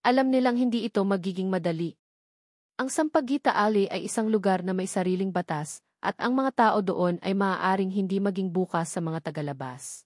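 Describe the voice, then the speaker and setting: A woman's voice: mid-range voice, fairly quick, darkish tone, no room sound. Woman narrating a story, dry sound. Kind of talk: scripted dramatic story narration.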